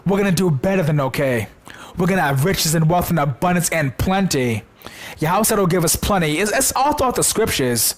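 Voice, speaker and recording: A man preaching in a casual, slangy way, hoarse from a sore throat, excited and repeating words for emphasis. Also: on the high side, fairly quick. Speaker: religious video host.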